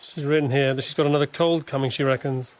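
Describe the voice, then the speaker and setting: An actor playing a fond young corporal and father-to-be in a war radio drama, with a gentle, hesitant delivery. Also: mid-range voice, fairly even intonation, fast talker, close to an everyday voice. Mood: fond, wistful.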